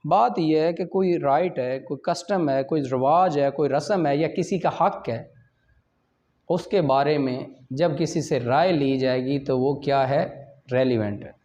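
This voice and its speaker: A man's voice: fairly high pitch, a little slow, a little mellow. A man with a Pakistani accent teaching law in a classroom lecture style, explaining point by point and mixing in English terms. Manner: explanatory, checks in with short questions, switches between English and Urdu. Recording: dull, lo-fi audio.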